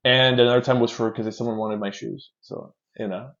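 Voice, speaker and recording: A man from Canada, a guest in a casual interview, telling stories in an animated way and restarting his words often. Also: mid-range voice, fairly quick. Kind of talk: casual chat, storytelling. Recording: dull lo-fi sound.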